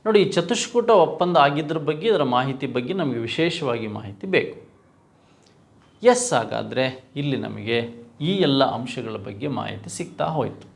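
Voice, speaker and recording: A man's voice: mid-range voice, lively intonation, normal speed, gravelly. A man with a Karnataka Kannada accent lecturing as an exam coach, didactic, repeating key points and posing rhetorical questions. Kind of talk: lecture, reviewing quiz questions.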